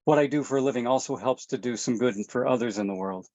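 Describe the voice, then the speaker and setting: A woman's voice: extremely low pitch, fast talker, darkish tone, no echo. A woman reading the part of an easygoing middle-aged father with a dry, joking way of talking. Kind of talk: table read. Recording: dull, dry recording.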